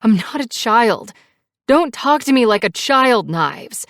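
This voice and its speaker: A woman's voice: fairly high pitch, sing-song, slow, dry recording, studio quality, pitched above the performer's own voice, exaggerated voice. A woman voicing a defiant, distraught woman in an audiobook, protesting in a raised, emotional voice. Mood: indignant.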